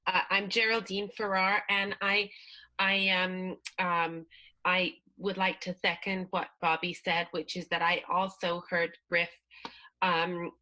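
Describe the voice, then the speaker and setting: A woman's voice: fairly low pitch, flat delivery, takes it slowly, sharp tone, poor audio. A woman playing a genteel, self-regarding 1920s film actress, tentative and mock-polite, in an improvised radio comedy. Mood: polite, incriminating.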